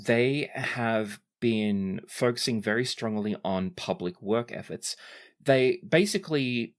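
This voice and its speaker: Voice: medium pitch, takes it slowly. Game master improvising a tabletop story.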